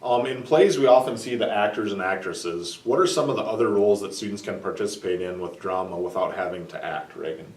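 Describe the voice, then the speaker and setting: A man acts as interviewer, asking formal prepared questions. His voice is fairly low. It is heard from a distance in an echoey room. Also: fairly quick, few pauses, a little mellow.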